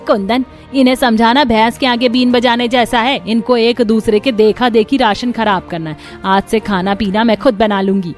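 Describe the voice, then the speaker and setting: A woman's voice: on the high side, fairly even intonation, normal speed, few pauses, faint music behind, natural voice. A woman voicing a bossy, exasperated older mother-in-law in a cartoon family drama, sarcastic and scolding. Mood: fed up. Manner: nagging, sarcastic.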